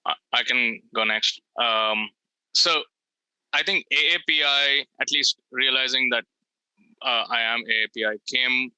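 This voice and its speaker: Bright, crisp-voiced man panelist. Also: mid-range voice, measured pace, dry recording, rough recording. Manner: reflective, hesitant. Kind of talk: answering on a video call panel. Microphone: video call.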